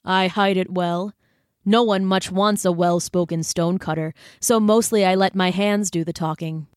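Woman playing a modest male stonecutter, lowish pitch. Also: steady tone, moderate pace, a little mellow, dry recording, clean studio sound, close to an everyday voice. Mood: bashful courting. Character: plain-spoken, bashful male stonecutter sweet on a lady.

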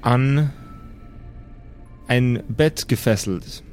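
A man narrating an improvised tabletop horror adventure as game master, pausing as he builds each description. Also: mid-range voice, a little mellow. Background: background music.

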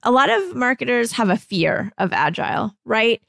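A woman's voice: fairly high pitch, very animated, moderate pace, creaky, a bit bright, no room sound, clean studio sound. A woman hosting an interview, asking engaged and curious questions. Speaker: podcast host. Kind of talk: interview conversation.

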